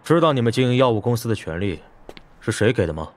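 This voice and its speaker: A man's voice: mid-range voice, big pitch swings, fast talker, close to an everyday voice. A man voicing an arrogant, hot-tempered military officer in a Chinese audiobook, curt and blunt in manner. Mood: cold contempt.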